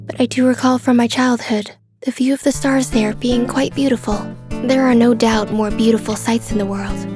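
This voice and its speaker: A woman voicing a reserved, polite and melancholy young female companion in a manga dub, speaking in a formal, measured, guarded way. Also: fairly high pitch, steady tone, music in the background, close to an everyday voice. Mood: calm, wistful. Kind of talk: fan manga dub dialogue.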